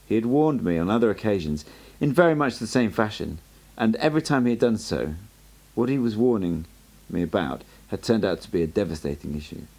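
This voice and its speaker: A man reading an audiobook aloud as an amateur narrator, in a steady storytelling way. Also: lowish voice, brisk, hardly any pauses, rough recording. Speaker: audiobook reader.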